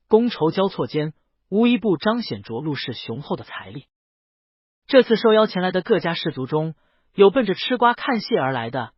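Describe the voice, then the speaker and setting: Lively higher-pitched man narrating a story. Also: breathy edge, dry recording, highs cut off. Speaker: audiobook narrator. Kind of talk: dramatic scripted story narration.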